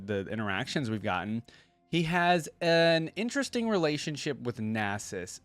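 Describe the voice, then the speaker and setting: A man's voice: mid-range voice, no room sound. A man chatting informally as a reaction video host, musing and speculating as he goes. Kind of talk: casual chat, reacting to game lore.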